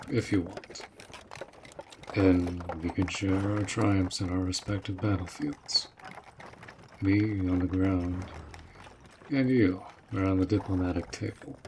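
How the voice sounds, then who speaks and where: Slow, low-voiced orc general played by a man. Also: a little hiss or hum, poor audio. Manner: measured, formal, earnest with dry teasing. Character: gruff, protective male orc general, courteous and guarded. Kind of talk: romantic-fantasy audio roleplay, speaking to listener.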